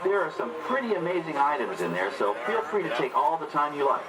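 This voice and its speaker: A man's voice: a little high, very animated, fairly quick, a crowd in the background. A man working as a tour guide, delivering scripted narration over a tram's speakers. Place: moving tour tram outdoors. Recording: distant noisy recording over tram speakers.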